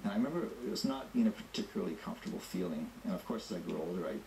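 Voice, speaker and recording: Very deep gravelly man, thoughtful. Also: animated, racing, dark tone, faint music behind. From Canada. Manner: thoughtful, hesitant with fillers. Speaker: interviewee, drummer and editor. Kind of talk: interview answers. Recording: echoey room with faint noise.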